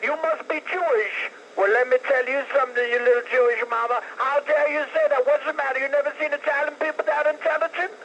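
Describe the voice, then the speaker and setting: A man calling in to a talk radio show, theatrical and scolding in an excited rant. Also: very high-pitched, fast, few pauses, dull audio, slight background noise. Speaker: radio caller. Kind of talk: phone-in call to talk radio.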